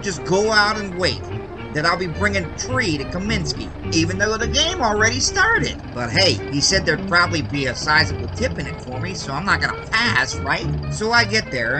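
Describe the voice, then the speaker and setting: A gabby American cabbie from the 1920s, his voice pitched on the high side. He is a folksy, slangy baseball lover who spins rambling yarns in a radio-play style, with music clearly behind him. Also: rough recording. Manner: colloquial, slangy, rambling storyteller. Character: talkative 1920s Chicago cabbie, folksy baseball-loving yarn spinner. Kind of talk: audio drama acting.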